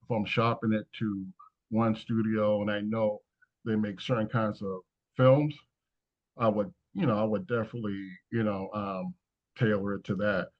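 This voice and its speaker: A man's voice: lowish voice, lo-fi sound. A man answering questions casually as a screenwriter, talking in a hesitant, rambling way. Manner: hesitant, rambling, frequent "you know". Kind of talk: casual Q&A talk after a script read.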